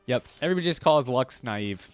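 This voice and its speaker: A man gives casual, unscripted reaction commentary. His mid-pitched voice moves around in an animated way as he muses aloud. Soft music sits faintly behind him. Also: fairly quick. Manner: musing, thinking aloud. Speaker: reaction video creator.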